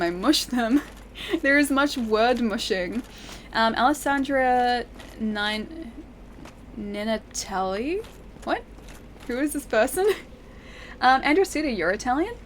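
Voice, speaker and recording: A woman's voice: fairly high pitch, slight background noise. An Australian woman streaming a game, chatting casually and reading her chat aloud, laughing often and thinking aloud with plenty of fillers.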